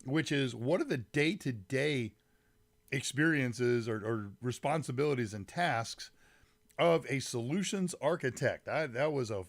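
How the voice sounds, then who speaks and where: A man hosting a casual live tech stream, chatting with viewers by name and rambling a little, his voice a bit deep and congested from a summer cold. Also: no room sound. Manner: rambling, greets chat viewers by name, frequent fillers. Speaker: livestream host. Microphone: mic set some distance away.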